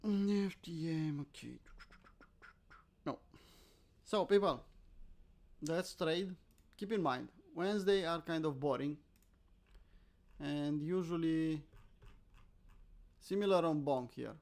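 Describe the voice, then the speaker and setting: A man's voice: fairly high pitch, takes it slowly, pauses often, a little mellow. A man from Romania with a Romanian accent, hosting casual live trading commentary in an informal, self-deprecating way, often asking and answering his own questions. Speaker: host, crypto trader.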